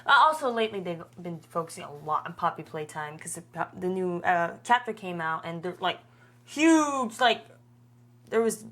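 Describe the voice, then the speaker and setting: A woman's voice: lowish voice, animated, moderate pace, fairly bright tone. Woman co-hosting a casual podcast chat. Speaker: podcast co-host. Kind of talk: casual chat reviewing a web horror series.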